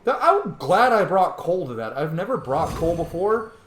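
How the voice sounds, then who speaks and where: Casual male game streamer, opinionated and profane, lively intonation, echoey recording. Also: fairly high pitch, normal speed. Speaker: gaming streamer. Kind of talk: casual gameplay commentary. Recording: echoey room, faint background noise.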